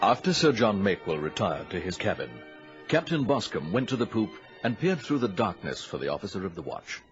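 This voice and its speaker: A male narrator tells a radio tale in a lowish voice with very lively intonation. Music plays behind him, and the recording is dull. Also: brisk. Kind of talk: scripted radio drama narration. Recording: muffled recording with music underneath.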